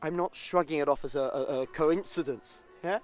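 An actor playing a defensive, wary politician in a naturalistic audio drama. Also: male voice, a little high, moderate pace, faint music behind, natural voice. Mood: tense, grave. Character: tense, defensive male politician, proud and wary.

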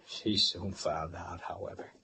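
A man's voice: sits low, fairly even intonation, a little slow, creaky, bright, forceful tone, heavily acted. An actor playing a paranoid, menacing handyman in an old radio thriller, with a controlled, threatening manner. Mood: quiet menace. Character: menacing, paranoid handyman holding a woman captive. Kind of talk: 1940s-50s radio thriller acting. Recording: noisy old-time radio recording.

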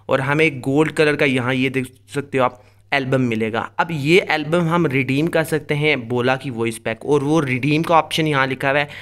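A man's voice: medium pitch, fairly bright tone, clear, resonant tone. A man hosting a gaming tips video, explaining things step by step in a casual way and addressing viewers directly. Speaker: host of a mobile game tips channel. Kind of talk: casual tutorial commentary over gameplay.

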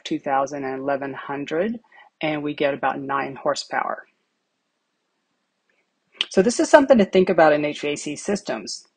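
A woman's voice: sits low, slightly rough, no room sound. A middle-aged woman who hosts and teaches an HVAC lesson, explaining equations step by step over a whiteboard. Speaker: HVAC instructor.

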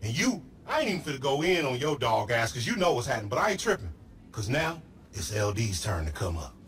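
A man's voice: mid-range voice, sing-song, fast, fairly bright tone, a little hiss or hum. A man playing a brash, aggrieved hustler buddy in a video game, theatrical and boastful, with slangy trash talk. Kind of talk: video game comedy acting.

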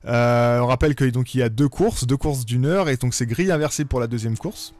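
A man giving relaxed live commentary on a sim racing event, with many hesitations and fillers. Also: medium pitch, a little fast, no room sound.

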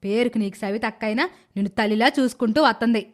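A woman voicing a blunt, sharp-tongued, bossy village woman in an animated moral story. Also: on the high side, fast talker, pitched below the performer's own voice, natural voice. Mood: scolding. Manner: sharp-tongued rustic scolding.

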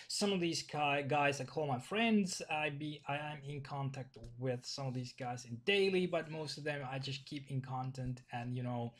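Young Finnish-accented male vlogger, non-native English, halting delivery full of fillers, bedroom desk webcam. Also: a little high, fairly bright tone. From Finland. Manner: halting, repeats words, frequent fillers. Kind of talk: casual video commentary. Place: small bedroom.

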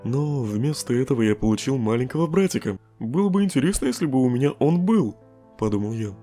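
A man's voice: mid-range voice, expressive, a little fast, warm tone, faint music behind, natural voice. A man voicing a lazy, joking male skeleton in a fan comic dub, drawling and chuckling at his own puns. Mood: laid-back.

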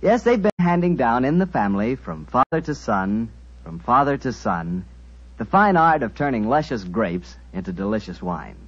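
A man's voice: mid-range voice, big pitch swings, normal speed, breathy edge. A male radio announcer doing chummy, folksy 1940s-style ad patter. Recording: dull old radio broadcast.

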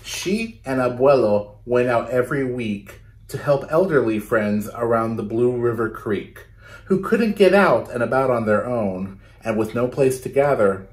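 A man in his thirties reads a children's picture book aloud at a medium pitch. He speaks like a storytime host, stopping to put questions to young listeners and explain things. The recording is made indoors in a small bedroom.

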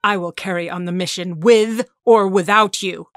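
A woman's voice: fairly low pitch, fairly even intonation, moderate pace, fairly bright tone, no echo. A woman playing a bitter, commanding mutant villain leader in an audio drama, curt and scornful. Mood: angry, exasperated.

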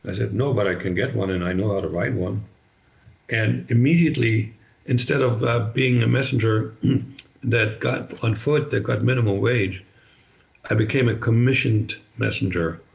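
An older man with a slight German accent, German native, telling his story as a podcast guest over a video call, halting with many restarts. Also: lowish voice, vocal fry. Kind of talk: conversational storytelling. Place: bright living room, indoors. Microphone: video call webcam.

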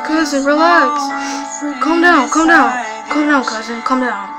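High-voiced, anxious cousin acted by a woman. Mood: soothing. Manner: repetitive, pleading, halting. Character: young, anxious, soothing cousin character. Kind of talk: amateur improvised character skit. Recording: music behind the voice.

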